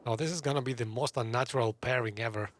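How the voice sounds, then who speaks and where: A man's voice: mid-range voice, fast, a little hiss or hum. A man giving casual, wry reaction commentary while playing a video game, informal in his wording. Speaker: gamer commenting on a playthrough. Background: game music.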